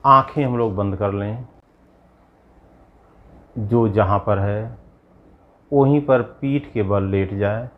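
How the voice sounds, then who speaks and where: A man guiding a yoga nidra meditation as a yogi, giving measured instructions in short, spaced phrases. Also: fairly low pitch, phone-quality sound. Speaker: yogi leading guided meditation.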